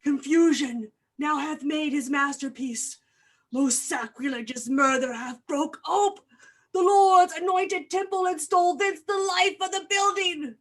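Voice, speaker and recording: A woman's voice: high voice, measured pace, fairly bright tone. A woman acting a frantic, vengeful doctor hunting a murderer in a Shakespearean staged reading, with grand, declamatory delivery. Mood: horrified. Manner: declamatory, archaic diction, grandly dramatic.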